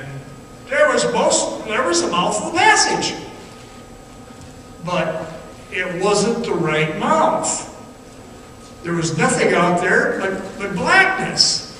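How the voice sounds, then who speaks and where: An actor playing a haunted man grimly recalling an ordeal, in halting, suspenseful radio-drama narration. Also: high-pitched, sing-song, sharp tone, exaggerated voice. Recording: echoey distant radio play recording, faint hiss.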